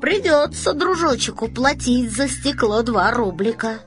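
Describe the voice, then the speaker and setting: Woman playing a brisk, officious male building manager. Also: on the high side, expressive, soft background music. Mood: patronising. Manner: patronising, matter-of-fact. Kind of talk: dramatised storybook reading.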